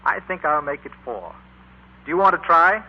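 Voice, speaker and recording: A man playing a genial, fair-minded bandleader in a 1940s radio drama, with a husky voice and measured, avuncular manner. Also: a little high, very animated, bright, forceful tone, full character voice. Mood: genial, assured. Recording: muffled 1940s radio recording.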